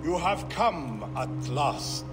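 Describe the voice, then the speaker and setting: A mystical Egyptian seer, solemn and portentous in a game cutscene. He intones slowly in a high voice, as if in ritual, with long reverb and loud music around him. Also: sing-song. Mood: grave, expectant. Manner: slow, incantatory, portentous. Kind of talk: dramatic video game voice acting.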